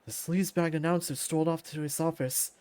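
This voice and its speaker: High-voiced man narrating a story. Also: fairly even intonation, a little fast, darkish tone, clean tone, dry recording. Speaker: narrator reading a visual novel aloud.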